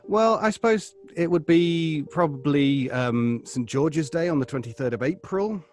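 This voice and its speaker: A man's voice: on the high side, animated, a little slow, darkish tone. A British man chatting casually in a polite, playful way. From Britain. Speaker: voice actor, guest performer. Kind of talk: casual chat.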